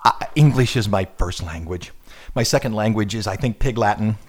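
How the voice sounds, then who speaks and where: A man's voice: fairly low pitch, normal speed. A man hosting a radio music show in casual chat, joking and rambling with self-deprecating asides.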